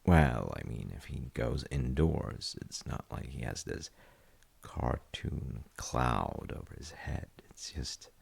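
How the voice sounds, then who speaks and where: A man playing a gentle, thoughtful dad in an intimate audio roleplay, speaking hesitantly and softly with a gravelly, husky voice. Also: a bass voice, lively intonation, slow pace, exaggerated voice. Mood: wry, musing. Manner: hesitant, musing, soft-spoken. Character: gentle, thoughtful dad comforting his child. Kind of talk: intimate audio roleplay, slow bedtime chat.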